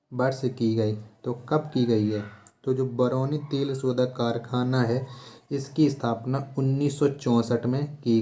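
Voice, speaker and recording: Male quiz tutor, soft-edged relaxed tone, mid-range pitch, repeats questions before answering. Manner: repetitive, rhetorical questioning, instructional. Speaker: exam coaching teacher. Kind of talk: quiz lesson, reading questions and answers aloud.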